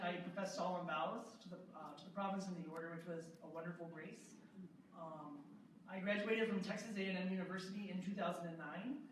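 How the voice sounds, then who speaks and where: A man's voice: sits high, slight background noise. A young friar in his twenties introducing himself on a panel, with frequent fillers. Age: 20s. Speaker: Dominican friar. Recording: echoey hall, distant mic.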